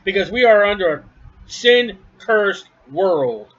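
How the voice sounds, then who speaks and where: Blunt, high-voiced male preacher. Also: animated, takes it slowly, a bit bright, highs cut off. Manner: blunt, scornful, emphatic. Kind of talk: home-recorded sermon. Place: at home.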